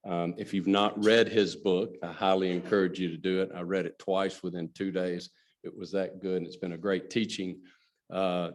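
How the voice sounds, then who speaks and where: A middle-aged man giving an earnest luncheon speech as a company president. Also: sits low. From Alabama, USA. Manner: earnest storytelling, addresses audience directly. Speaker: guest speaker, company president.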